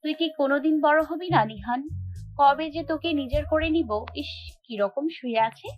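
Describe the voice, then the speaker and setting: Actress for bossy young woman, secretly smitten, high voice, audio love drama. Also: moderate pace, no room sound, dull audio, close to an everyday voice. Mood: tender, fond. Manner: scolding yet tender.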